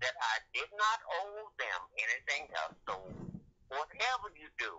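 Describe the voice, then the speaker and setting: Forceful, irritated older man on the phone. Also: a little high, sing-song, moderate pace. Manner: irritated, blunt, insistent. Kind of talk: phone conversation. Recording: dull lo-fi telephone line.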